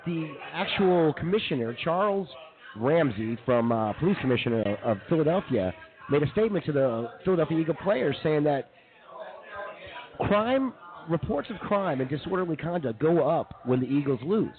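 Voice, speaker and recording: A man hosting a live comedy show, running through news stories in a casual, halting, chatty way. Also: fairly high pitch, big pitch swings, narrow, tinny audio. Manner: halting, informal, chatty delivery.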